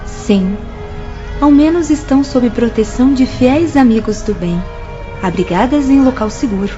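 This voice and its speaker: A woman's voice: fairly high pitch, moderate pace, warm tone, close to an everyday voice. A woman playing a composed, earnest female spirit worker in a Brazilian radio drama, speaking formally with a Brazilian accent. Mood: earnest, concerned. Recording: muffled with music behind.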